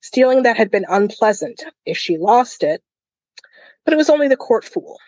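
A woman's voice: fairly high pitch, expressive, moderate pace, a little mellow, no echo, muffled recording. A woman co-hosting a podcast, casually discussing a book and reading passages aloud. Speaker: podcast co-host.

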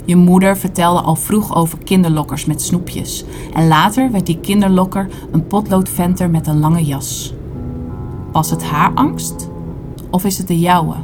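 Slow, low-voiced woman reciting poetry. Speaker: poet performing own audio play. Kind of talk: literary spoken-word narration in second person.

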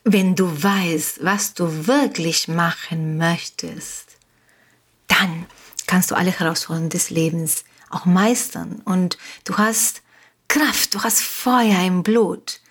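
A woman with a Spanish accent, a native Spanish speaker from Uruguay, hosting a motivational podcast with slow, repetitive, emphatic phrasing. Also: fairly low pitch, fairly even intonation, slightly rough. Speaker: podcast host, coach. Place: home studio on an island.

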